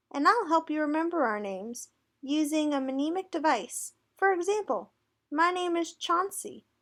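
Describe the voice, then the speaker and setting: A woman's voice: high voice, fairly bright tone, full character voice. A woman voicing a tiny, helpful mouse pupil in a picture book, chirpy and eager. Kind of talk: picture book read aloud.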